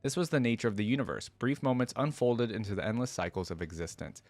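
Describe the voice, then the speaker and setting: Male reaction host, lower-pitched, dry close sound, reads stories aloud and comments casually. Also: fast talker, a little mellow, no room sound, faint music behind.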